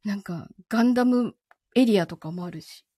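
A woman's voice: medium pitch, moderate pace, dark tone, husky, dry recording, studio quality. A middle-aged woman chatting casually as a live stream host, joking and laughing easily. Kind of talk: casual post-show chat.

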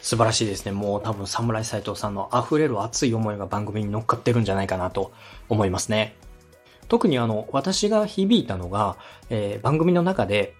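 Polite male podcast host, slightly husky. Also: lowish voice, fairly quick, soft background music. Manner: polite, encouraging. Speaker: podcast host and radio director. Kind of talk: structured podcast interview with feedback.

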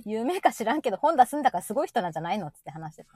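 A middle-aged woman with a Kansai accent hosts a casual audio chat. Her voice sits high and swings widely as she tells her stories. She talks quickly and with plenty of animation. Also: breathy edge, no echo. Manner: chatty, animated storytelling. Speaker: live audio stream host.

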